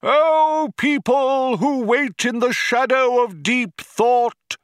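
A rapturous male orator from a storybook, proclaiming to a vast crowd. The voice is pitched very high and pushed loud, with grand, stretched-out phrases. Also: clean tone, dry recording, studio quality. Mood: exultant, proclaiming. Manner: grandiose, declamatory, drawn-out proclaiming. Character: rapturous male cheerleader addressing a huge crowd by tannoy. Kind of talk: theatrical audiobook character voice.

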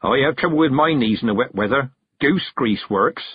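A man's voice: mid-range voice, lively intonation, normal speed, slightly rough, no echo, muffled recording. A man voicing a meek, deferential country carter in a storybook, speaking hesitantly and apologetically. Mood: meek, careful.

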